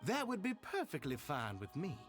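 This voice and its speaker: An actor playing a smooth-talking male enforcer with oily, ingratiating courtesy. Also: fairly high pitch, huge pitch swings, moderate pace. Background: game music.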